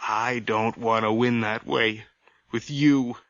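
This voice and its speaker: A man's voice: medium pitch, fairly even intonation, takes it slowly, background noise. An actor playing a man in his thirties, tormented by his dead twin, defiant and pleading in a radio horror drama. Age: 30s. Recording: dry, dull old radio recording.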